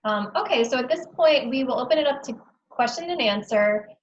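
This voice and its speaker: A woman's voice: high-pitched, animated, fairly quick. Woman moderator on a muffled video call, friendly, fumbling with the controls. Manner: friendly, a bit flustered with the meeting controls. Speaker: career services moderator.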